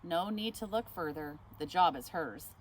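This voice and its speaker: A woman reading a picture book aloud, expressive, stopping now and then to ask the listener questions. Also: lowish voice, unhurried, slight background noise. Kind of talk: read-aloud storytime.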